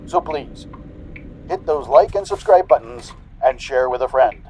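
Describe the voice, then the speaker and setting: A man's voice: mid-range voice, animated, unhurried, dark tone, a little hiss or hum, poor audio. A man delivering a scripted, mock-formal channel greeting as host, with tongue-in-cheek politeness.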